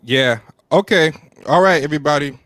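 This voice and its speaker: A man in his thirties co-hosting a casual livestream chat from home, joking at his own expense, laughing often and pausing on fillers. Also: a little high, fairly bright tone, no echo. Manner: self-deprecating, laughs often, trails off with fillers. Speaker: podcast co-host. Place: home room with plants and posters. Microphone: studio mic with headset, on a video call.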